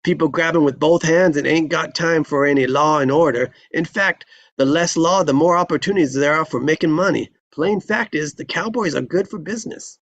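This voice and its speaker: A man's voice: on the high side, brisk, a bit bright, no echo, dull audio. A man voicing a jovial old frontier marshal with folksy western talk, in a screenplay table read. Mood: jovial, knowing.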